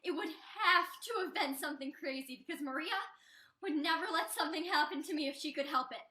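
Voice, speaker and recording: A young woman acts out a defiant, chatty female addict in a stage play. Her voice is high and bright, rambling along in casual, evasive storytelling. The room sounds echoey around her. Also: a little fast. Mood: flippant. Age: young adult. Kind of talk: student one-act stage play acting. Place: indoor stage.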